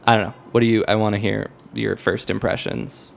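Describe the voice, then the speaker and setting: A man's voice: on the low side, flat delivery, a little fast, gravelly. A man hosting a podcast, chatting casually and informally about music in slangy language. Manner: informal slangy talk, sometimes sings or hums snatches to illustrate. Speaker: podcast host.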